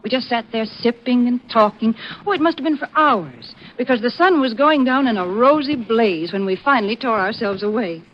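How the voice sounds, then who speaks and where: A woman's voice: a little high, moderate pace, few pauses, close to an everyday voice. A woman playing a cheerful, chatty homemaker with a polished, folksy manner in a 1940s radio advertisement. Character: cheerful, chatty female homemaker pitching tea. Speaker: sponsor spokeswoman. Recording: muffled, slightly noisy 1940s radio recording.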